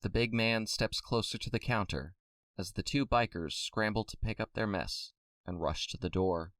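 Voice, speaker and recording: A man narrates an audio drama from a script. His voice is on the low side, recorded close and dry. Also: moderate pace, a bit bright, dry recording.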